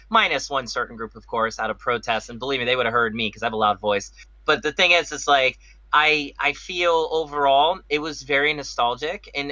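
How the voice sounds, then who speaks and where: A man's voice: mid-range voice, pushed and bright, no echo, dull audio. A man co-hosting a casual podcast over a video call, talking in an enthusiastic, rambling way. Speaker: podcast co-host. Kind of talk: casual chat.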